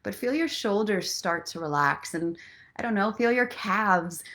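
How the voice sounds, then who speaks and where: A woman hosting a casual live online show, speaking in an expressive, earnest and encouraging way, with a playful streak. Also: mid-range voice, unhurried. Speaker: host of a live online story and inspiration show. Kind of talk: casual live chat mixed with guided relaxation and motivational talk.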